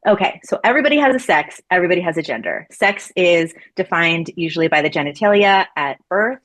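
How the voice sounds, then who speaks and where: A woman's voice: on the low side, sing-song, quick pace. A woman in her forties from Florida, a laboratory medicine researcher talking casually as an interview guest, with many fillers and restarts. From Florida, USA. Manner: chatty, many fillers and restarts, laughs easily.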